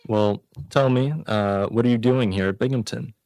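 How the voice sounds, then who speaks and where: A man's voice: on the low side, steady tone, moderate pace, a little mellow, no echo. A man hosting a radio variety show, making scripted announcements and introducing an interview.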